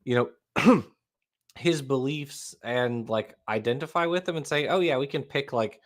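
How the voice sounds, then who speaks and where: A man's voice: mid-range voice, measured pace. A man with a Southern accent hosting a casual panel chat, reading out posts and answering them with wry sarcasm. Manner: wry, sarcastic, laughs often. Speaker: host.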